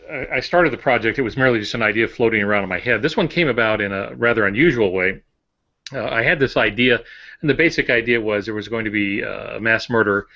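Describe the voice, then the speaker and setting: An older man, a novelist co-hosting a podcast, explaining in a casual, halting way with short repetitions. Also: on the low side, sharp tone. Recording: dull lo-fi voice recording.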